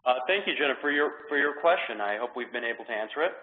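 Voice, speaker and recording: A man's voice: medium pitch, animated, background noise. A man moderating a conference call, politely reading out participants' questions. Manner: polite, measured, reads questions aloud. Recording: echoey phone line.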